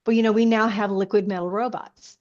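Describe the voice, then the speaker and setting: Frau im Podcast, dumpfer Lo-Fi-Klang. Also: female voice, mid-range voice, fairly even intonation, a little fast, darkish tone. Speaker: science fiction author, podcast guest. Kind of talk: casual podcast conversation. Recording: dull, lo-fi sound.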